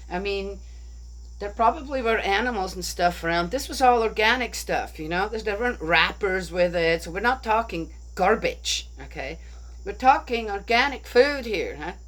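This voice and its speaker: A woman talking casually through a bible story, thinking aloud and often asking her listeners "right?". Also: medium pitch. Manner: chatty, rhetorical, keeps asking "right?". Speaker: bible study speaker.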